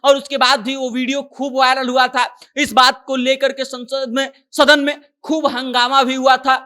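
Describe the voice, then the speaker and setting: The voice is notably high in pitch. The speaker presents news as informal commentary, retelling events in a conversational way and relaying who said what. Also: level tone, moderate pace, bright, forceful tone. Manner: conversational retelling, acting out quoted dialogue. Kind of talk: informal news commentary recounting a viral story.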